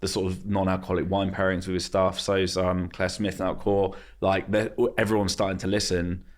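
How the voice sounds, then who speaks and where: A male podcast host chatting casually in a relaxed way, laughing easily. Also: low voice, a little fast.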